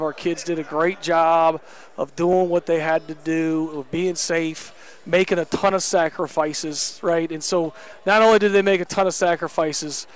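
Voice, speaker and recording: Candid coach in a post-game interview. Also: male voice, a little high, smooth. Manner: candid, emphatic, many fillers. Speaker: head basketball coach. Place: indoor gym court. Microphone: headset.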